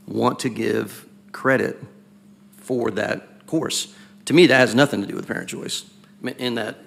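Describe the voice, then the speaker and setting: A man with a raspy voice speaking as a school board member at a public meeting, questioning deliberately and circling back to restate his point. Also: mid-range voice, fairly bright tone. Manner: deliberate, questioning, repeats himself while building a point.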